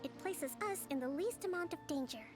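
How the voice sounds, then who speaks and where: A woman voicing a composed young priestess and strategist in a video game, polite and measured. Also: high voice, natural voice. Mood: calm. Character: young female priestess and calm grand strategist. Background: faint game music.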